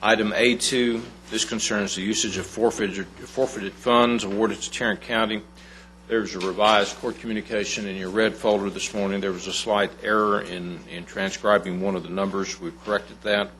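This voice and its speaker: A man's voice: on the low side, little pitch movement, normal speed, a bit bright, slight background noise, rough recording. A man giving agenda announcements to a county court in a plain, businesslike way. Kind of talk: agenda announcements to a public meeting.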